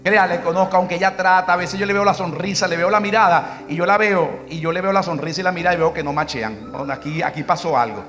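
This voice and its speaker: A man's voice: sits high, fast talker, hardly any pauses, a bit bright, faint music behind. A middle-aged Venezuelan man with a Venezuelan Spanish accent, a pastor preaching and telling a personal story, acting out bits of dialogue. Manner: storytelling, quoting his wife in dialogue.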